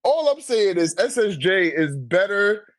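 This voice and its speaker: Animated guy arguing in heavy slang. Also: sits high, unhurried, no echo, studio quality. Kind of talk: casual slangy debate about manga rankings.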